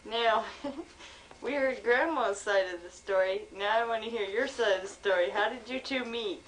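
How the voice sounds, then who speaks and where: A woman's voice: on the high side, normal speed, fairly bright tone. A young woman chatting casually, playfully coaxing answers while filming at home. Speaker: granddaughter filming and asking questions. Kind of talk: casual chat. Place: small home, indoors. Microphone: handheld camcorder, close behind camera. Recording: noisy amateur home video.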